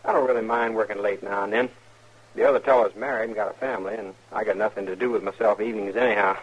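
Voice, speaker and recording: On a dull old radio recording, a young frontier bank clerk acted by a man speaks in a husky, mid-pitched voice. He is American, mild-mannered and homespun, with a slightly hesitant delivery. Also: steady tone, fast talker, lightly acted. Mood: amiable, casual. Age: young adult. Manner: plain-spoken, amiable, hesitant. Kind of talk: 1950s radio drama acting.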